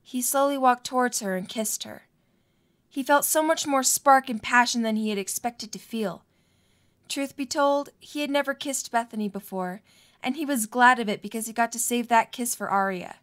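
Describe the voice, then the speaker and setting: Woman, high voice, narrator, scripted fan audio drama storytelling. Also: normal speed, fairly bright tone.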